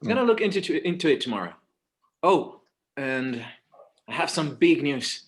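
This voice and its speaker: Man playing a fairly high-voiced, hesitant adult son. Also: sing-song, moderate pace. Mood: hesitant, placating. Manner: hesitant, conversational. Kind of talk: screenplay table read.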